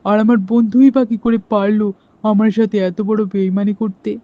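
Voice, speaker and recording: A woman's voice: medium pitch, fairly even intonation, brisk, no echo, voice pushed down, natural voice. A woman voicing a stern farmer father in a cartoon story, speaking with indignant outrage. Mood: angry. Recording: dull lo-fi sound.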